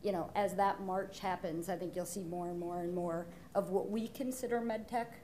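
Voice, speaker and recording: A woman panelist explaining ideas in a lively way at a conference table microphone. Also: lowish voice, moderate pace, slight background noise. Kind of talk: panel discussion. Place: conference stage.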